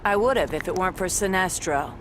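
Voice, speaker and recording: A woman's voice: fairly low pitch, a little fast, noisy background, natural voice. A woman playing a confident, wry superheroine mentor in a video game, teasing as she talks. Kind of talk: video game character dialogue. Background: loud music.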